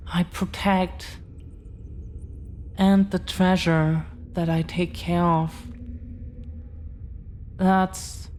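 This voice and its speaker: A woman acting as a devoted dragon girl, extremely slow, lowish voice, earnest and hesitant, intimate ASMR roleplay, noisy recording. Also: a little mellow. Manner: hesitant, earnest, halting with pauses and repeats. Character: tender, devoted dragon-descended girlfriend, shyly confessing.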